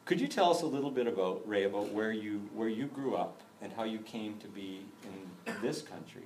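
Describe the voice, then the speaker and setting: A man hosting an interview, asking thoughtful questions in a warm, chuckling way. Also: on the low side, animated, moderate pace, far from the mic. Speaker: host. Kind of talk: conversational interview. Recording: echoey room with background noise.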